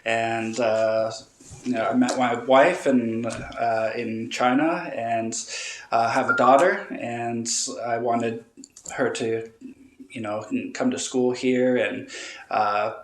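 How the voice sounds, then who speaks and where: A man talking over a phone call as an interview guest, halting with many filler sounds and restarts. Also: mid-range voice, steady tone, a little hiss or hum. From Canada. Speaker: guest, relocating client. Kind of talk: casual interview answers. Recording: roomy echo.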